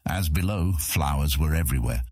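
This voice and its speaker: A man's voice: a bass voice, lively intonation, moderate pace, darkish tone, breathy edge, no room sound, clean studio sound. A man reading a fantasy audiobook as its scripted narrator.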